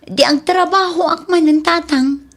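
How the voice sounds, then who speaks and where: A woman's voice: very high voice, measured pace, fairly bright tone. A woman playing a caring, self-sacrificing elder daughter in a radio drama, earnest and concerned. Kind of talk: amateur radio drama acting.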